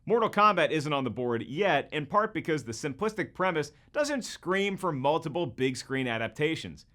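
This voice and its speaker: A man's voice: on the high side, lively intonation, moderate pace, fairly bright tone. A man presenting scripted comparison commentary, opinionated and playfully irreverent. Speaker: video presenter.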